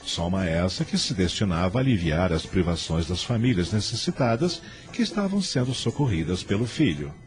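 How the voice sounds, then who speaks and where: A man's voice: low-pitched, big pitch swings, moderate pace, few pauses. A man narrating a scripted radio drama in a dramatic storytelling style. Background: faint background music.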